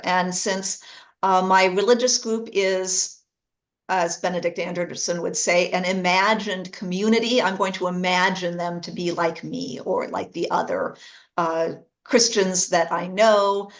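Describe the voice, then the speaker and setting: A woman presenter answering an audience question in an academic discussion, careful and thoughtful in her wording. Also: lowish voice.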